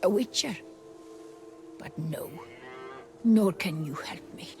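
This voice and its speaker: An actress playing a haunted, fragile woman in a video game, speaking formally in a halting, anguished way. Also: medium pitch, dark tone, faint music behind. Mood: wary, distrustful.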